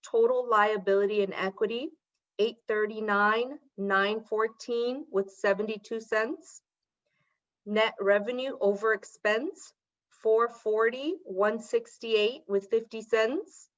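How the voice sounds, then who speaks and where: A woman giving a committee report and reading out figures at a virtual meeting, in a cheerful, steady way. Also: medium pitch, muffled recording.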